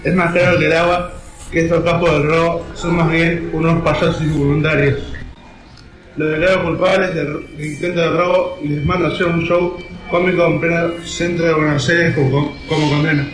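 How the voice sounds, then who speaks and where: A man's voice: a little high, flat delivery, moderate pace, close to an everyday voice. A teenage boy with an Argentine accent playing an exasperated judge in an amateur radio comedy sketch. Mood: exasperated, official. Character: exasperated judge mocking clownish bank robbers. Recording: echoey room, music behind.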